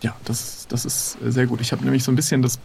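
A man's voice: medium pitch, monotone, fast, creaky, a little mellow, slight background noise. A man playing an improvised adventure game, speaking casually, politely and with hesitation. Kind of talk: casual improvised role-play.

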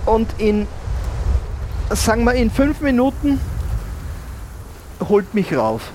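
An adventurous male diver played by a man in an improvised audio adventure, with a slightly high voice. He talks slowly and hesitantly, murmuring and repeating phrases to himself, over clearly audible background noise. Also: very animated, a little mellow, exaggerated voice. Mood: determined. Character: adventurous male treasure diver and cork shop owner.

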